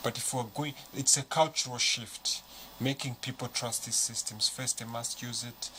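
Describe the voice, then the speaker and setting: A man with a Zambian accent speaking as a panel guest, measured and explanatory, walking through examples. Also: mid-range voice, fairly even intonation, bright, forceful tone. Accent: Zambian English. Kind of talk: studio panel discussion. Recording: noisy studio recording.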